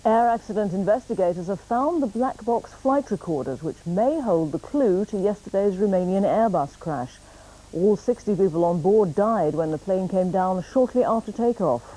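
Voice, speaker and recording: A woman with a British accent reading scripted news in a formal, measured way. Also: mid-range voice, animated, dark tone, low-quality recording. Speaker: newsreader.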